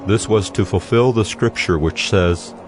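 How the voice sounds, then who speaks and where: A man's voice: on the low side, a little slow. A man reading a scripted, dramatized scripture narration. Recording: music bed under the voice.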